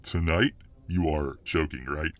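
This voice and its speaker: A man's voice: very deep voice, fairly even intonation, measured pace. A man playing a dominant, boastful, hot-tempered muscular fireman boyfriend in an ASMR roleplay audio drama. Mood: challenging, swaggering. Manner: boastful, cocky swagger.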